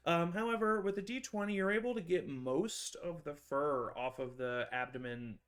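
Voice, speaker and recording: A man's voice: on the high side, a bit bright. A young man narrating a tabletop game as game master, hesitant and full of fillers. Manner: halting, many fillers and restarts.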